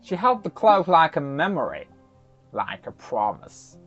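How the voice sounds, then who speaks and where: A storyteller narrating a folktale in an expressive, dramatic way, with deliberate pauses. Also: mid-range voice. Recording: slightly muffled, soft music underneath.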